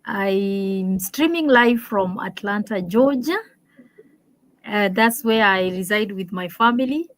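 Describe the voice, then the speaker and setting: A woman's voice: medium pitch, slow. A woman from Kenya, a teacher, co-hosting a live-streamed panel over a video call, speaking hesitantly with many fillers.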